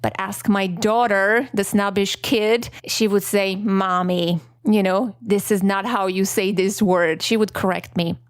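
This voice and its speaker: A woman's voice: medium pitch, steady tone, a little slow, hardly any pauses, no echo, studio quality. A middle-aged woman with an accent, whose first language is Armenian, hosting a podcast in a candid, self-deprecating solo reflection. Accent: non-native English. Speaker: podcast host.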